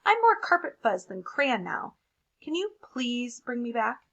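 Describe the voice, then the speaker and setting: A woman's voice: fairly high pitch, sing-song, a little slow, fairly bright tone, dull audio, heavily acted. A woman voicing a battered, bitter and confused crayon with an aggrieved, emphatic storybook delivery. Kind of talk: expressive picture-book read-aloud.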